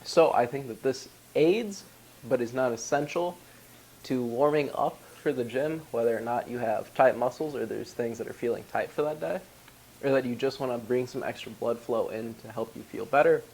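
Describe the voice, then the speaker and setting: A man's voice: medium pitch, normal speed, vocal fry, a little mellow, a little hiss or hum, poor audio. A congested young man, slightly losing his voice, casually walking through the gear in his gym bag. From Wisconsin, USA. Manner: chatty, explains ingredient details. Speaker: powerlifting vlogger. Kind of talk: casual product walkthrough.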